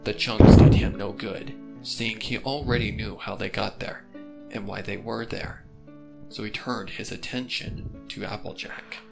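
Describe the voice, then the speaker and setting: A man reading scripted fan fiction as the narrator of a dark mystery. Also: lowish voice, steady tone, measured pace, gravelly. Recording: background music under the voice.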